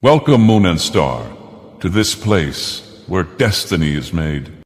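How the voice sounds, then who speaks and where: A man's voice: low voice, big pitch swings, slow, heavily acted. A man playing an arrogant, mocking god villain from a video game, theatrical and pompous in delivery. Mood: solemn, inviting. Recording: long cavernous reverb.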